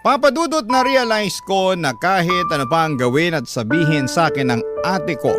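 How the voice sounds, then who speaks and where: A man with a Filipino accent narrating a first-person story as a radio host, in measured phrases. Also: mid-range voice. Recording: prominent piano music underneath. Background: loud piano music.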